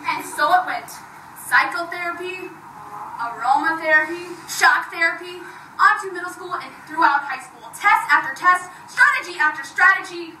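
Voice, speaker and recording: A woman's voice: extremely high pitch, normal speed, bright, forceful tone, distant mic, full character voice. A teenage girl playing an articulate, exasperated schoolgirl who narrates with theatrical wryness in readers theater. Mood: wry. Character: bright, exasperated schoolgirl narrating her own story. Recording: echoey, faintly noisy room from afar.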